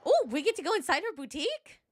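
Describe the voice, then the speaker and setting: A woman giving casual live commentary on a game playthrough, playful and excitable. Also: extremely high pitch, fast. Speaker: game streamer.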